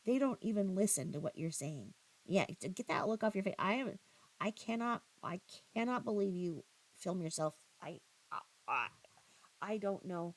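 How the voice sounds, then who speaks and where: A woman giving critical, confrontational reaction commentary, scornful and halting, often repeating her phrases. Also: medium pitch, animated, rough recording. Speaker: commentator reacting to another creator's livestream.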